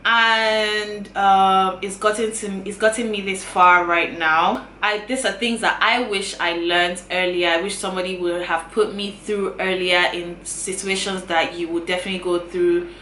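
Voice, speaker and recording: A woman's voice: medium pitch, little pitch movement, measured pace, few pauses, a little hiss or hum. A woman in her twenties talking casually to camera, giving earnest advice and often repeating her phrases. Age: 20s. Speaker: YouTuber. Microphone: camera mic. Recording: bright, roomy sound with faint ambience.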